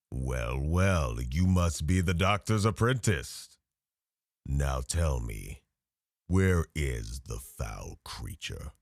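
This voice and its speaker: Menacing vampire hunter, played by a man, extremely low and slow, formally courteous and mocking, ASMR roleplay. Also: lively intonation, gravelly, no room sound, clean studio sound, heavily acted. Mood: mocking, threatening. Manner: formal, taunting, theatrical speech.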